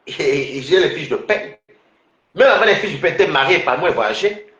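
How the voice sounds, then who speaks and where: A man's voice: a little high, animated, moderate pace, a bit bright, reverberant, lo-fi sound. A man from Cameroon in a casual, rambling personal monologue, full of restarts and fillers. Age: adult.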